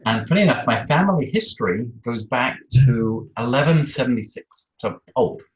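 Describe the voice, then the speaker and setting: Casting: British male interview guest, mid-pitched, conversational storyteller, echoey online-call audio. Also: lively intonation, normal speed. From Isle of Man, UK. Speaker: interview guest, social media expert. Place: online video call in a room. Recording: roomy, echoey video-call sound.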